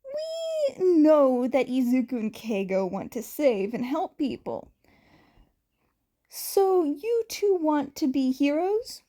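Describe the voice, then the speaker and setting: A woman voicing an upbeat, friendly father in a story, with a lively questioning manner. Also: sits high, slow pace, pitched above the performer's own voice, heavily acted. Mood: warm, curious. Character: upbeat, friendly adult man, a caring father.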